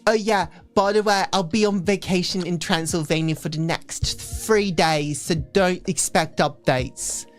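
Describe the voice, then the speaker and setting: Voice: medium pitch, fairly even intonation, unhurried, few pauses. A streamer giving a comic, mock-serious dramatic reading, stumbling over words and dropping in casual asides. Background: faint background music.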